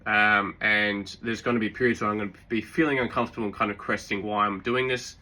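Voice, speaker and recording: A man with a sore throat giving relaxed, rambling fitness advice, full of fillers and restarts. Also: lowish voice, quick pace. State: sore throat, occasional coughs. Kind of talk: casual advice talk.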